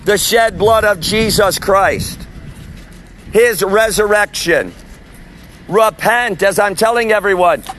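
Street preacher, male, high voice, loud proclaiming delivery, outdoors with faint street noise. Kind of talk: open-air street preaching.

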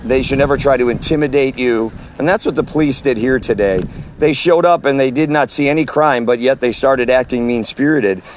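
Loud street preacher outdoors. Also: male voice, medium pitch, fairly quick, a little hiss or hum. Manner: forceful preaching cadence. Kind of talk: walking audio message. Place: outdoors at a farmer's market.

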